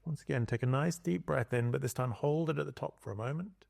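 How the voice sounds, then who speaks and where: A man leading a guided body scan meditation as a teacher, speaking in calm, gentle instructions with long pauses. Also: medium pitch, vocal fry, no echo, clean studio sound.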